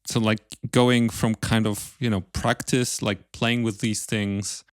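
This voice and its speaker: Smooth, lowish male podcast host. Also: flat delivery, takes it slowly, no room sound, clean studio sound. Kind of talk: conversational interview.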